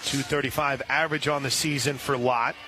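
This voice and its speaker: An American man calling live softball play-by-play on the radio from an outdoor ballpark. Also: mid-range voice, steady tone, fairly quick. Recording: loud outdoor ballpark ambience.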